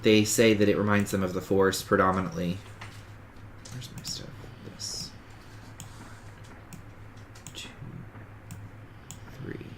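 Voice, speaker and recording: A man's voice: low voice, fairly even intonation, takes it slowly, pauses often, slight background noise. A man with a stuffy, sniffly voice from a cold, casually talking through a survival game as he plays, rambling and correcting himself. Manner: rambling, self-correcting, talks through each game step. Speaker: gaming streamer. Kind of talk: casual let's-play commentary.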